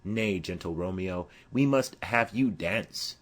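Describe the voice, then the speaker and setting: A man voicing a boisterous, witty young gentleman in a theatrical Shakespeare audiobook reading. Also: sits low, slow pace, exaggerated voice. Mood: teasing, bold. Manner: theatrical, declamatory.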